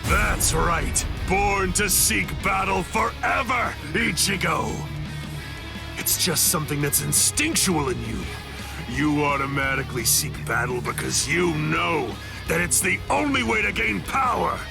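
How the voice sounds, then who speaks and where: A menacing, battle-crazed spirit from an anime game. The voice sits high and crackles with fry, swinging wildly as it taunts and sneers theatrically over music. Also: male voice, expressive, unhurried, heavily acted. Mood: taunting. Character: menacing, taunting male inner hollow spirit craving battle. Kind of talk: English anime game dub acting.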